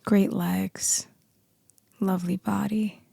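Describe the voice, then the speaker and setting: A low, very gravelly female boss acted by a woman in an ASMR roleplay. She is dominant, seductive and teasing, speaking slowly and deliberately. Her voice is breathy and often sinks into a whisper, very close and dry. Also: flat delivery, dark tone, dry recording, exaggerated voice. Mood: commanding, flirtatious. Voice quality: deep, husky, breathy voice. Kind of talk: ASMR roleplay, slow intimate acting.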